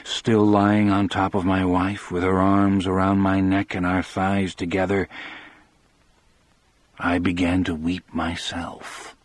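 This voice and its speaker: A man with a husky voice reading scripted first-person audiobook narration. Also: deep voice, flat delivery, slow pace, no room sound.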